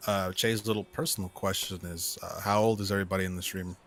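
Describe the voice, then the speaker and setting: A man's voice: sits low, fairly even intonation, fast, heavy background noise. A man in his mid-twenties reading out questions on a gaming livestream, with casual, crude, teasing banter.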